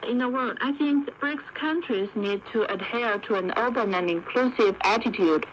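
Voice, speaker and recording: Woman, expert interview guest, measured formal delivery, orderly points, dull shortwave sound, light background noise. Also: mid-range voice.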